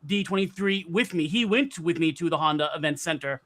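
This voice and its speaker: High pitched guy, bright loud voice, excited casual storytelling, trips over his words a lot. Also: fairly quick. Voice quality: loud projecting voice. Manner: excitable, stammering repetitions. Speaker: podcast panelist recounting a convention trip.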